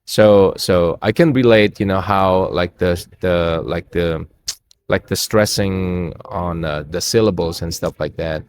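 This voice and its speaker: Laid-back low-voiced man. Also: a little slow, slight background noise. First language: Indonesian. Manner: easygoing, laid-back, laughs easily. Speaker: band member. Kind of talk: casual interview chat.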